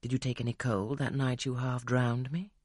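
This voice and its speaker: A reader doing a blunt, commanding gentleman. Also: mid-range voice, flat delivery, moderate pace, no room sound, studio quality, natural voice. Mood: probing. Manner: blunt, probing, imperious. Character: brusque commanding gentleman of the manor. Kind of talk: audiobook character reading.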